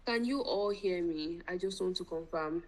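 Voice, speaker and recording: A woman's voice: lowish voice, moderate pace, a little mellow, low-quality recording. A woman with a Nigerian accent hosting a live online event in an effusive, playful and grandiose manner. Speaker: event host.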